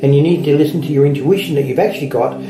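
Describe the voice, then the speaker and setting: A woman card reader talks quickly in an echoey room with music playing behind her. She explains in a casual, repetitive way and keeps checking that the listener follows. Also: low voice. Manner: explanatory, repetitive, seeks agreement. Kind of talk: casual one-to-one card reading. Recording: roomy echo with music behind.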